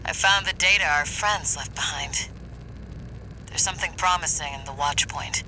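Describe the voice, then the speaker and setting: Wry old buddy in a game, kinda high and really sharp voice, familiar way of talking, bit of noise. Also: male voice, moderate pace, rough recording. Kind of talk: video game voice acting.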